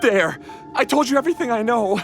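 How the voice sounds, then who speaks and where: A nervous thief, voiced by an actor, at a middling pitch, explaining hurriedly and anxiously before begging for mercy. Game music plays faintly behind. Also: lively intonation, fairly quick, close to an everyday voice. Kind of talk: game cutscene voice acting.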